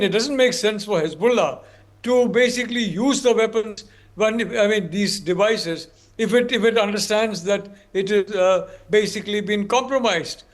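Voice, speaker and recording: A man from India with an Indian accent, commenting on a news panel as a professor in a measured, courteous way. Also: sits high.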